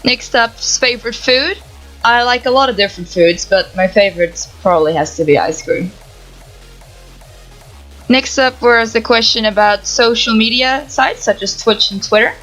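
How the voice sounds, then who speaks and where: A young woman from the Netherlands with a Dutch accent, calmly reading out answers to viewer questions for her gaming channel. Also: a little high, moderate pace, lo-fi sound, faint music behind. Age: young adult. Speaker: gaming YouTuber. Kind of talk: reading Q&A answers to camera, no face cam. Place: home setup, voice-over without camera.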